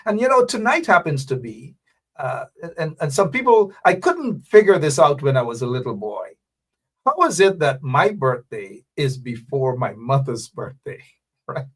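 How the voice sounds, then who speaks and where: A man's voice: a little high, big pitch swings, moderate pace, a bit bright, slightly rough. A man hosting a livestream show, chatting casually and rambling with frequent asides. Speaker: host.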